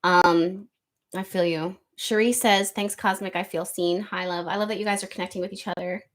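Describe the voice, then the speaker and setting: A woman hosting an astrology livestream, reading viewer comments aloud in a loose, rambling chat with a soft, slightly nasal voice, close to the microphone. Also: on the low side, steady tone, moderate pace. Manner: chatty, rambling, lots of ums and likes. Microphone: close studio microphone.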